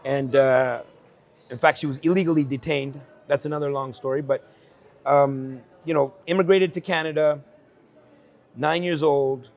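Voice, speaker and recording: A man in his forties from El Salvador, a guest speaking earnestly at an investment conference about his life, with frequent fillers and quick laughs. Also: on the high side, fairly even intonation. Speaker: guest entrepreneur. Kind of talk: candid conversational storytelling.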